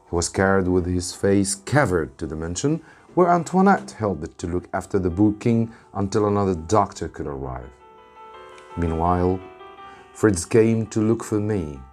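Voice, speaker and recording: An Arabic-speaking man, an English teacher, reads a storybook aloud for students in deliberate short phrases with pauses. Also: low-pitched, darkish tone. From Egypt. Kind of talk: narrated storybook reading for students.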